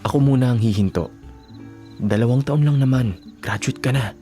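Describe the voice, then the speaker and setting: A man playing a devoted young working-student boyfriend in a Filipino radio drama, speaking gently, earnestly and reassuringly. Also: mid-range voice, moderate pace, soft background music, lightly acted. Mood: earnest, determined. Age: young adult.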